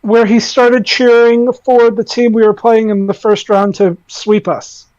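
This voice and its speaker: A woman podcast guest in casual chat, with a loud voice, hesitant and full of fillers. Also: on the high side, steady tone, measured pace, no room sound, highs cut off.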